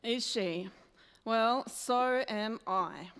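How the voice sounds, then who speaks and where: A woman's voice: fairly high pitch, extremely slow, a bit bright, clear, resonant tone, heavily acted. A woman playing a plucky, forthright newspaper worker in a radio play, earnest and outspoken. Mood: indignant. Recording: echoey hall stage recording.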